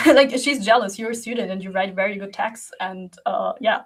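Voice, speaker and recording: A woman's voice: medium pitch, moderate pace. A young woman from Germany whose first language is Russian, talking casually to camera as a creative coach, rambling with many fillers and restarts and laughing often.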